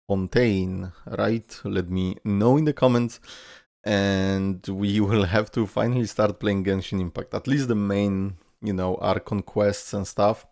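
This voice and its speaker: A man's voice: lowish voice, creaky, darkish tone, dry recording, studio quality. A man giving casual, informal reaction commentary as a YouTuber, thinking aloud and swearing mildly. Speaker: YouTuber, streamer.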